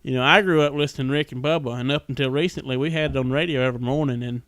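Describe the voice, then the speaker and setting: A man's voice: medium pitch, steady tone, very fast, fairly bright tone, dry recording. A man with a Southern US accent chatting casually as a podcast host, telling things in a folksy, rambling way. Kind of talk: casual chat.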